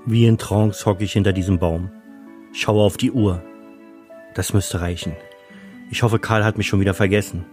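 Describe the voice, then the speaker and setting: A man's voice: fairly low pitch, fairly even intonation, normal speed, pauses often, darkish tone, soft background music. A man reading a scripted first-person story as its narrator.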